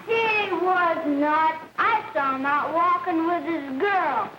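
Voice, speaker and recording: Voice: extremely high pitch, takes it slowly. A young performer playing a pesky, teasing little brother, a small boy with a whiny, sing-song manner, in 1930s film style. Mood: taunting. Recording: noisy, echoey 1930s film soundtrack.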